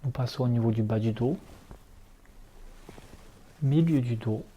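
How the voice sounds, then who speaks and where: A man leading a guided relaxation meditation as an instructor, speaking calmly in short, unhurried instructions. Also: mid-range voice, lo-fi sound, a little hiss or hum. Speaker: yoga nidra relaxation instructor.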